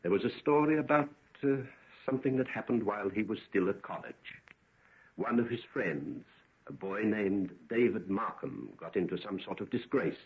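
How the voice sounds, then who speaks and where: An actor playing a middle-aged, protective father, earnest and measured, in an old-time radio melodrama. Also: lowish voice, slightly rough. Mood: grave foreboding. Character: grave, protective father, uneasy and affectionate. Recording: muffled, tinny old radio recording.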